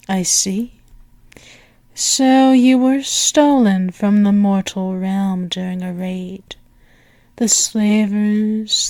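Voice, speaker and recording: A woman acts out an imperious demon princess for an ASMR roleplay. She speaks slowly and teasingly, lingering over her words with a regal, seductive tenderness. The sound is close and dry, with breathy murmurs. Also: medium pitch, darkish tone, dry recording, close to an everyday voice. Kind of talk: ASMR roleplay, intimate one-sided dialogue.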